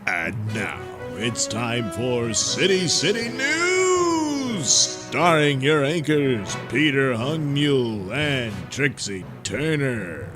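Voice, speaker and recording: A man narrating a comedic serial in a dramatic announcer style. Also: medium pitch.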